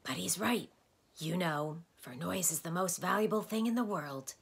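A woman's voice: fairly low pitch, animated, moderate pace, gravelly, breathy edge, pitched above the performer's own voice, full character voice. A woman voicing a blustering, easily exasperated male doctor in a storybook, lecturing and quizzing emphatically. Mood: earnest. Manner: emphatic, questioning, declamatory.

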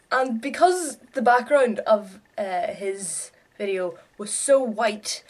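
A young adult woman vlogging casually in her bedroom, with an irreverent, offhand, sweary way of talking. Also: on the high side. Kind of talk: casual chatty vlog to camera. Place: small bedroom, indoors.

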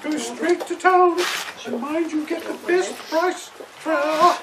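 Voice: high-pitched, slow pace. A performer voicing a fretful, melodramatic mother in a children's puppet show, full of exclamations. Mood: gushing. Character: dramatic, fretful mother of a little boy. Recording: outdoor far camera, noisy background.

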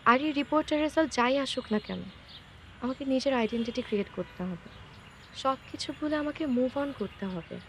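A young Bangladeshi woman with a high-pitched voice, gentle but resolute. She speaks earnestly in naturalistic film dialogue, slipping in English words, over faint outdoor noise. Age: young adult.

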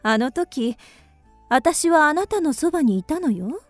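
An actress in a radio drama playing a forward young geisha, coy and probing, with old-fashioned feminine speech. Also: female voice, high voice, sing-song, measured pace, no echo, faint music behind. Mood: coy, curious.

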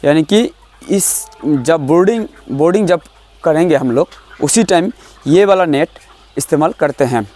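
Young man, slightly high-pitched, halting delivery. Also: lively intonation, dark tone. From India. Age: young adult. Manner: halting, repeats phrases. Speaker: poultry farming vlogger. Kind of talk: informal instructional explanation to camera. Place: large bamboo poultry shed full of chickens. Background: chickens clucking.

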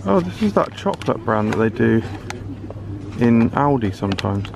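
Gravelly British man chatting in a supermarket. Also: medium pitch, big pitch swings, moderate pace, noisy background. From United Kingdom. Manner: chatty, curious, exclaiming over products. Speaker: food vlogger. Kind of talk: casual running commentary while shopping. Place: large supermarket aisles, indoors.

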